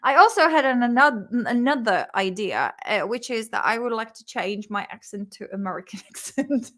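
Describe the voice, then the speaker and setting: Young Czech woman, vlog host; crisp, bright tone, a bit high; loose, sweary, giggly study-along chat. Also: expressive. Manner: chatty, swears freely, laughs often; sounds words out slowly and repeats them.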